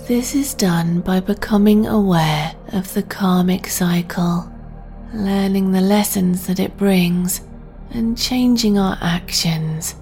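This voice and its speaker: A woman narrates a guided sleep meditation at a very slow pace. Her delivery is breathy, gentle and soothing, with a mid-range pitch. Music plays behind her. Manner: soft, breathy, soothing, deliberate.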